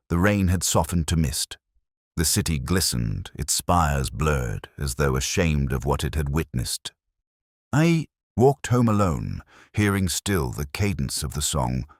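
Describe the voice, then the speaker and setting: A man reading a scripted first-person detective story in a brooding, measured, literary manner. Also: rumbling low, no room sound, clean studio sound. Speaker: audiobook-style narrator.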